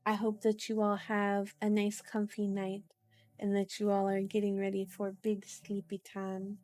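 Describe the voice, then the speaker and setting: A woman streamer talks in a sleepy, cutesy way at a very unhurried pace. She rambles casually to her viewers in an ASMR style, with playful little phrasings. Music plays behind her voice. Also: mid-range voice, completely flat delivery, darkish tone, no room sound, clean studio sound. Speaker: streamer hosting an ASMR book reading.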